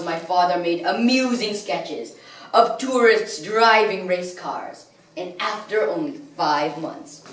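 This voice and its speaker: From across an echoey room with some background noise comes a grandiose, bitter villain played by a young girl. He tells his story in a slow, theatrical stage delivery, swinging widely in pitch between weighty pauses and swelling outbursts. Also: far from the mic. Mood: brooding storytelling. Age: child or young teenager, 13 or under. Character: melodramatic male soap-opera villain, bitter and grandiose crime lord.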